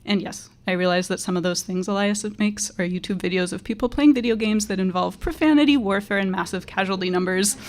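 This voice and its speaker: A woman teacher with a quiet voice giving a measured, earnest graduation tribute to a student. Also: medium pitch.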